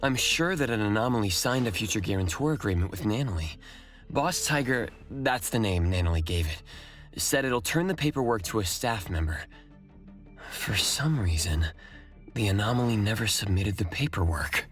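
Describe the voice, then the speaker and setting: An actor playing a composed young investigator leading his team in a video game, measured and matter-of-fact. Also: on the low side, expressive, fairly bright tone. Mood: thoughtful. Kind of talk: scripted video game voice acting. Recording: music behind the voice.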